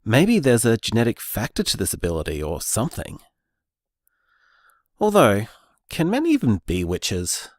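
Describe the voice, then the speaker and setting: Man voicing an earnest young luck-magic guy, low, lively lilt, musing out loud. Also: unhurried, long pauses, dry recording, studio quality, full character voice. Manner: thoughtful, musing, lively delivery. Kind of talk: audio drama, voice-message monologue.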